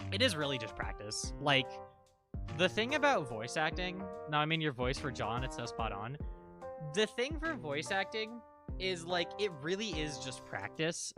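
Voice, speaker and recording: A streamer and voice actor chatting casually and rambling with lots of fillers, a little congested. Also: medium pitch. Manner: rambling, filler-heavy, self-interrupting. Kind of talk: casual let's-read commentary.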